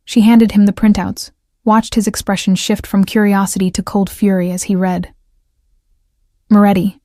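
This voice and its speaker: A woman narrating a romance drama story in a measured, even manner. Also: mid-range voice, no echo, clean studio sound.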